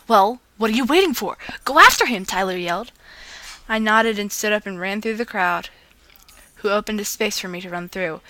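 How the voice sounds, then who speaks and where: A woman with a very bright voice reads romantic fan fiction in a casual, homemade way. She is expressive and often trips over words and starts again. The sound is dry and close, without room echo. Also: medium pitch. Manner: gushing asides.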